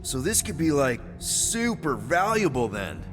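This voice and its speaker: Lively, boyish space-adventurer leader. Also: male voice, on the high side, measured pace, over music. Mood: curious. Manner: casual, colloquial, expressive. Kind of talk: video game voice acting.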